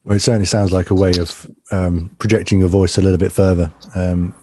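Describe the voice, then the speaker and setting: A man's voice: low voice, flat delivery, fairly quick, mellow. A man hosting a podcast, asking long, searching questions in a rambling way. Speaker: podcast host. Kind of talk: interviewing.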